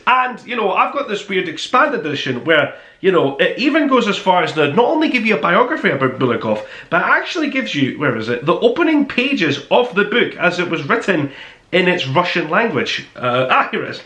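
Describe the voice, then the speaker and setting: Male book reviewer, bright and forceful, emphatic and rambling, fairly high voice, recorded in a roomy space. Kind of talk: casual spoken book review to camera. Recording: roomy, echoey sound.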